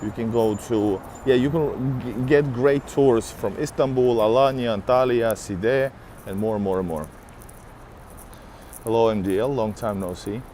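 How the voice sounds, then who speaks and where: A man's voice: mid-range voice, unhurried, warm tone, a little hiss or hum. Chatty man live-streaming on a city street. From Finland. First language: Russian. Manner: chatty, greets viewers by name. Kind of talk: casual live-stream chat while walking.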